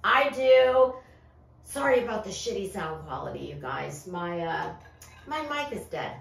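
A middle-aged woman hosting a casual commentary vlog with a theatrical, sassy, drawn-out delivery. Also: mid-range voice, very animated, vocal fry, slight background noise. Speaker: host of a crime and fashion commentary show. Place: small furnished room, indoors. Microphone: far camera microphone. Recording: echoey room, distant microphone.

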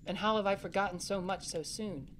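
A woman's voice: mid-range voice, fairly quick, a bit bright, a little hiss or hum, close to an everyday voice. A woman playing a protective, caring young boy, a capable big brother, in a family audio drama, speaking in an earnest, reassuring way. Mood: reflective, earnest.

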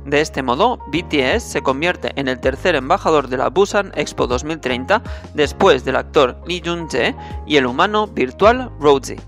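A man's voice: a little high, lively intonation, moderate pace, fairly bright tone. A man hosting a scripted entertainment news roundup, addressing viewers directly as a presenter. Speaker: host of a Korean entertainment news channel. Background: music under the voice.

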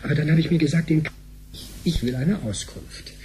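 An actor playing a cheeky, excitable young tech tinkerer in a radio drama, telling things animatedly in slangy talk with bits of English. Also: male voice, fairly high pitch, brisk, slight background noise, lightly acted. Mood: excited. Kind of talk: German sci-fi radio drama dialogue.

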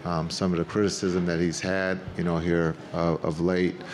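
Deep, flat-voiced coach at press table. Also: male voice, a little hiss or hum. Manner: measured, plain-spoken, many fillers. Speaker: head coach. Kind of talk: postgame press conference answers. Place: press conference room with backdrop. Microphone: table microphone.

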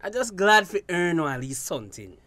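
A man's voice: high-pitched, sing-song, moderate pace, bright, forceful tone, no room sound, full character voice. An actor with a Belizean Creole accent playing a hard-up, guarded fisherman in a radio drama. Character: hard-up, guarded male fisherman seeking fresh start.

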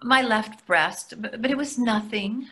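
Bright-voiced, anxious older wife. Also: female voice, fairly high pitch, measured pace. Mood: evasive. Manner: hesitant, defensive. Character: anxious, needling, reluctant woman therapist and wife. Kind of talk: readers theatre over video call. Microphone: video call.

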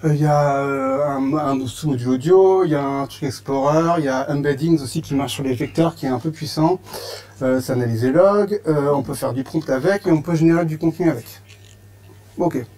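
French male presenter, casual, hesitant delivery, warm low tone. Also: a little fast. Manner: hesitant, many fillers and restarts. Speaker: meetup presenter. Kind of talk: casual conference talk. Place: meetup room with audience.

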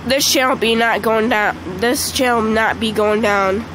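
A woman with a congested voice chatting casually over mobile gameplay, excitable and squealing at the game. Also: a little high, unhurried, noisy background. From Michigan. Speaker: gaming channel host. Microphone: phone screen recording.

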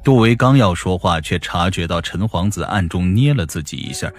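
Deep low guy narrating a fantasy audiobook, soft music under him. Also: lively intonation, normal speed. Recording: music behind the voice.

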